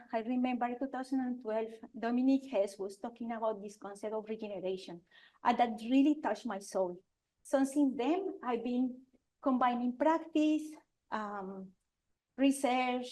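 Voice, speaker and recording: A woman's voice: fairly high pitch, a little mellow, rough recording. Colombian-accented woman presenting to an audience. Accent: Colombian Spanish. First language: Spanish. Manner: earnest, halting, with restarts and fillers. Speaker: architect giving a talk. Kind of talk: conference presentation. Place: lecture venue with audience.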